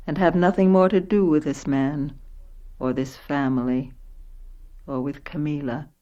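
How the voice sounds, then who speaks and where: An actress playing a composed, educated woman in a radio play, speaking in a measured, reflective way. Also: deep voice, dark tone, dull audio, lightly acted. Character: composed, educated woman narrating, inhabiting a poor daughter. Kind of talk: radio play narration and dialogue.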